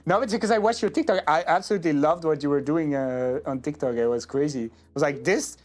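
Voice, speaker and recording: A man's voice: on the high side, fast. A man from France with a French accent, hosting a casual, playful interview chat in English. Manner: playful, laughs often. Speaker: interview host and streamer.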